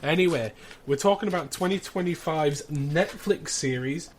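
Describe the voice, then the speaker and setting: A man's voice: a little high, normal speed, a little hiss or hum. A man hosting a casual podcast, bantering in a dry, self-deprecating way. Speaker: podcast host.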